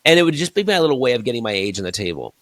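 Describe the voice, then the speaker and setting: A man in his fifties hosting a conversational interview, telling stories in an animated way and acting out his own stage lines. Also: medium pitch, racing, no echo, studio quality. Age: 50s. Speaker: host and keynote speaker.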